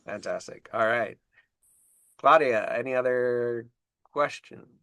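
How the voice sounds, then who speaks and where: A man moderating a science webinar over a video call, upbeat and quick to affirm. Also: mid-range voice, sharp tone, no room sound.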